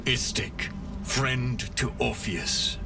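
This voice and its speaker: An actor voicing a stern, zealous githyanki rebel knight in a video game, speaking in a grand, fervent, oratorical manner. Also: male voice, medium pitch, fairly even intonation, drawn-out words, creaky. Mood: reassuring, resolute. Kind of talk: dramatic video game dialogue. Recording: distant, with music underneath.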